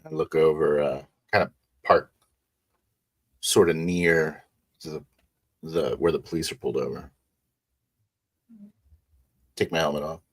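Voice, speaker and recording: A man's voice: rumbling low, fairly even intonation, unhurried, long pauses. A man casually improvising first-person narration as a player in a tabletop roleplay.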